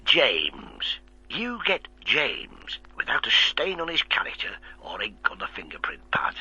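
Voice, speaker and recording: An actor in a radio drama playing a gruff middle-aged police inspector with a clipped, wry manner. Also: male voice, mid-range voice, huge pitch swings, unhurried, sharp tone, dull audio, full character voice. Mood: dry, businesslike.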